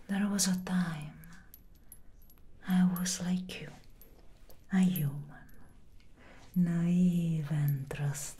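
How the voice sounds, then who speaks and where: An elder vampire woman played by an actress speaks in a deep, dark, whispery voice. She is commanding and menacing, measured in a soft-spoken ASMR roleplay, with faint noise behind her. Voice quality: breathy, whispery. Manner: measured, imperious.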